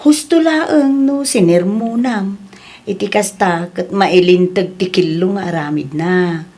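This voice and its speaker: A woman's voice: medium pitch, unhurried, warm tone. A woman acting a sympathetic young friend and confidante with a Filipino accent in an audio drama. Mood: supportive. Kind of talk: Ilocano radio-style family drama acting.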